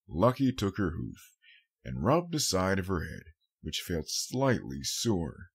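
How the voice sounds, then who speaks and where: A man's voice: low-pitched, sing-song, takes it slowly, creaky, husky. A man reading a scripted story as an audiobook narrator.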